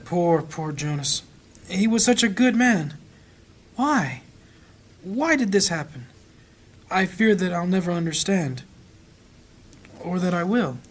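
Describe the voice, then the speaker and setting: An actor playing a gentle, anxious priest, a reluctant adventurer weighed down by guilt, in a fantasy audio drama. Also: male voice, high-pitched, expressive, takes it slowly, pauses often, exaggerated voice. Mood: mournful.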